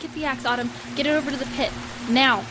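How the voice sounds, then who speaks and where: Quiet, higher-voiced teenage girl played by a woman. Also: steady tone, fast, lightly acted. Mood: quietly urgent. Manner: quiet, matter-of-fact. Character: steady, loyal, uncanny teenage girl medium. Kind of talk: audio horror drama acting. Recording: hiss and rain behind the voice.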